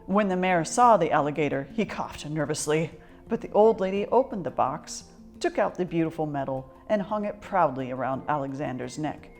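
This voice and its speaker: Woman storyteller, lowish pitch, expressive children's book narration, measured, articulate, some music.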